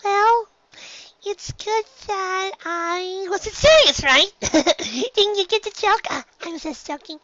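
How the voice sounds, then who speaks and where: A woman voicing a young pony filly, an innocently blunt little sister who asks puzzled questions in a squeaky voice. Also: takes it slowly, a bit bright, heavily acted. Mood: nervous. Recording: slightly dull, lo-fi recording.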